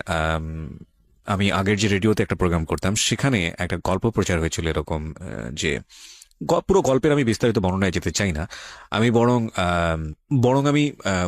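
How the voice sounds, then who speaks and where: Deep-voiced male radio host, measured and reflective. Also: dry recording. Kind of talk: reflective interviewing, addressing listeners.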